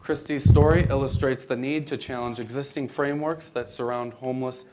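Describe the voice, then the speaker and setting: A man's voice: medium pitch, fairly even intonation, a little fast, very noisy. A young American man reading scripted narration for a readers theater performance, formal, with occasional stumbles over the text. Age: young adult.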